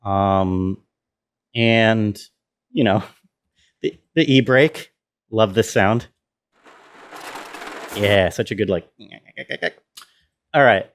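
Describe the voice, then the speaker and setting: A man's voice: lowish voice, very slow, pauses often. A man giving an informal walkthrough as a sound designer, thinking aloud as he explains. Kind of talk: casual tutorial walkthrough.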